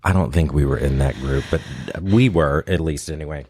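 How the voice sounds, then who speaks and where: A man's voice: a bass voice, normal speed, darkish tone, slightly rough, dry recording. A man telling funny personal stories in a casual, self-deprecating and somewhat hesitant way, as a podcast guest. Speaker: podcast guest, sketch and improv comedian. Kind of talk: casual comedic storytelling.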